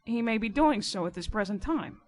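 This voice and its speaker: A woman voicing a rough, sly, boastful working-class riverman informer in an audiobook, with insistent colloquial speech. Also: a little high, expressive, fairly quick, a little mellow, slight background noise, close to an everyday voice. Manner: colloquial, insistent, nonstandard grammar. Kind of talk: dramatic audiobook character reading.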